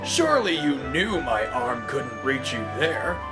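A man's voice: mid-range voice, sing-song, unhurried, music in the background. A man voicing a bossy, lazy, irritable dockside crane in a children's story, growling and barking his complaints. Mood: scornful.

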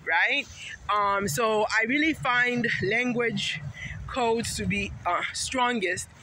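A woman's voice: a little high, slow, sharp tone. A middle-aged woman from Haiti, vlogging casually in a chatty, rambling, emphatic way while walking through a park. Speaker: vlogger and teacher. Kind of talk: casual talk to camera. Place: sunny city park outdoors. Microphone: phone held at arm's length. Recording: noisy outdoor phone recording.

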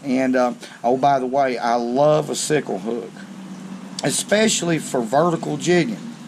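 Slow, folksy man. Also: mid-range voice, a little hiss or hum, low-quality recording. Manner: slow, folksy, down-home talk with frequent "okay". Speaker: fishing channel host. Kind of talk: hands-on tackle demonstration. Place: covered outdoor porch or garage on a rainy day.

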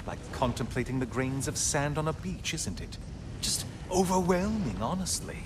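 An actor playing a sardonic, mocking god's talking head in a video game, speaking in a sassy, needling way. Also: male voice, on the high side, animated, moderate pace, background noise, lightly acted. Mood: sneering. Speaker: game character. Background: game music.

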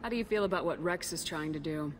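An actress playing a composed human military commander in a video game, direct and questioning. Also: female voice, deep voice, fast, natural voice. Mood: composed, inquiring. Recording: clear ambient background noise.

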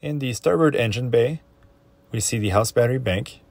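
A man's voice: medium pitch, moderate pace, creaky, a little mellow, no echo. A man narrating a walkthrough tour of his own boat, listing the equipment in a plain, matter-of-fact way. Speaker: boat owner giving a tour.

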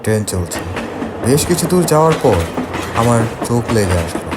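A man narrating a scripted first-person horror story for an animated cartoon. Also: medium pitch, moderate pace, heavy background noise.

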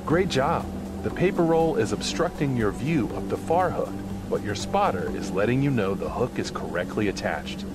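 A man giving scripted, instructional training narration in a clear manner. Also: medium pitch, animated, normal speed. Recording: music behind the voice. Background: prominent background music.

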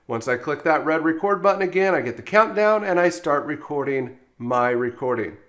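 A man working as a software trainer, narrating an instructional screencast in an explanatory, step-by-step way. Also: on the high side, expressive, moderate pace.

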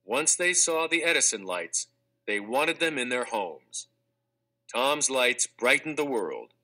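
A man narrating a children's textbook read-aloud in a clear, measured, expressive scripted delivery. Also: mid-range voice. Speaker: audiobook narrator.

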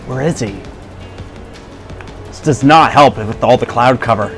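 A man's voice: medium pitch, a little slow, soft background music. A man playing a video game and giving light, casual commentary as he goes.

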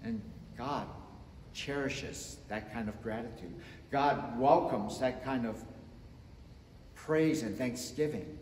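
A man in his fifties preaching a sermon from the front of a church sanctuary, slow and emphatic, swinging between loud and soft. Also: mid-range voice, slight background noise. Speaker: pastor. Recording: echoey church with long reverb.

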